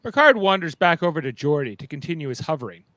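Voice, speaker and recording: A man's voice: a little high, big pitch swings, quick pace, fairly bright tone, slight background noise. A man narrating stage directions in a playful, dramatic way for a live fan script reading.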